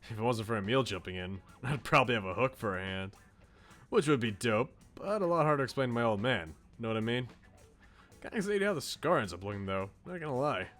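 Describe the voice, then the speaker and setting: A man's voice: medium pitch, lively intonation, fast, a bit bright, soft background music, lightly acted. Man reading as a brash, slangy teenage slacker. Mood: casual, self-deprecating. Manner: slangy, blunt, casual. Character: brash eighteen-year-old male slacker, insecure but loyal. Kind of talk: visual novel character read aloud.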